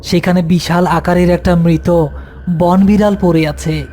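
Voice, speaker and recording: A man's voice: sits high, steady tone, unhurried, mellow. A man giving scripted narration as the storyteller of a horror tale.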